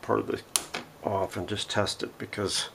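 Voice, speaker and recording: A man's voice: fairly low pitch, level tone, normal speed, creaky, noisy background. A man giving a casual, unscripted hands-on workshop demonstration, thinking aloud as he works. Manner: thinking aloud while working. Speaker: host of a radio repair vlog. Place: home workshop.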